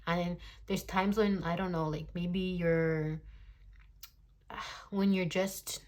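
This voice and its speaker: A young woman in her twenties speaks in a slightly low voice as she gives a casual tarot reading into her phone. She is blunt and talkative, swears freely and often breaks into laughter. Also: steady tone, slow, darkish tone. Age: 20s. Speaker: tarot reader.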